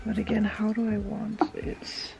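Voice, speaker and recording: Female vlogger, relaxed slow delivery, muttering through a home fix-up over background music. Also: medium pitch, vocal fry. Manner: thinking aloud while fitting drawer knobs. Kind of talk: casual DIY vlog talk. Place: indoors at home.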